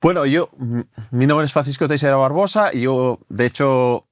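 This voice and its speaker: A man's voice: medium pitch, normal speed, dry recording, clean studio sound. A middle-aged Portuguese man who speaks Spanish with a slight Galician accent, a podcast guest telling his story in a self-deprecating way and often repeating words as he thinks. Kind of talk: casual podcast interview.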